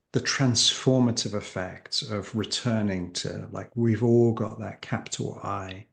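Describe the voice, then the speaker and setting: A man with a creaky voice speaking as an interview guest and coach, explaining emphatically with rhetorical questions and acted-out examples. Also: fairly low pitch. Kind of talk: animated interview conversation, explaining.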